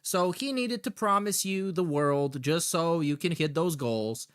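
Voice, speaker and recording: A man with a fairly high voice hosts a casual reaction show. He is sarcastic and mocking, and he swears freely as he comments. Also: lively intonation, unhurried, smooth. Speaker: podcast host reacting to clips.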